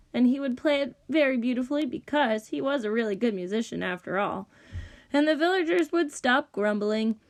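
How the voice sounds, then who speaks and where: A woman reading a children's story aloud with expressive narration. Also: high-pitched. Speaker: read-aloud reader.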